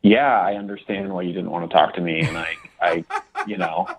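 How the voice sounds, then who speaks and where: A man calling in to a radio show, speaking haltingly and apologetically, stumbling over his words. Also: sits low, steady tone, background noise. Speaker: phone-in caller. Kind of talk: unscripted personal phone conversation. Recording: muffled, dull phone call.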